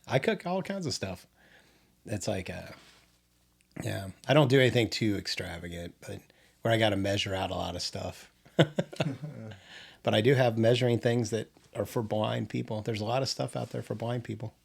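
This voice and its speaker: An older man talks easily in a relaxed podcast chat, with a low, gravelly voice. He laughs often and breaks off and restarts his sentences as he goes. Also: moderate pace. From Kansas City area, Missouri. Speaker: podcast co-host. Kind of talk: casual chat.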